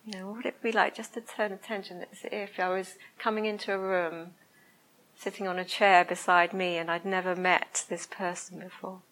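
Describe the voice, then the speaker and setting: Soft-spoken woman meditation teacher, hesitant and searching, gentle pauses between phrases. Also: on the low side, level tone, low-quality recording. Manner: slow, hesitant, searching for words. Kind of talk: reflective dharma talk and guided meditation. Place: room with a listening group.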